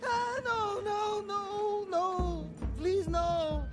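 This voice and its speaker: A terrified Polish farmer. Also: male voice, very high voice, level tone, very slow, over music, full character voice. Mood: pleading. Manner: broken English, pleading, panicky. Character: frightened poor male Polish smallholder. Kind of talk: video game cutscene acting.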